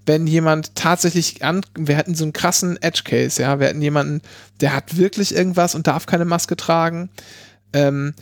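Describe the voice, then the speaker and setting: A man's voice: a little high, fairly even intonation, moderate pace, no echo. A man chatting casually, explaining legal points with many fillers and restarts. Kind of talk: casual chat explaining law.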